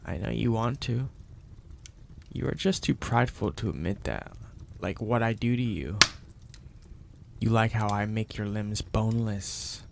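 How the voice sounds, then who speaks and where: A man playing a possessive, domineering mafia boss in an intimate ASMR roleplay, speaking deliberately and with teasing menace in a gravelly, husky, breathy voice. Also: on the low side, noisy background. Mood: seductive, smug. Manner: slow, deliberate, menacing, florid wording.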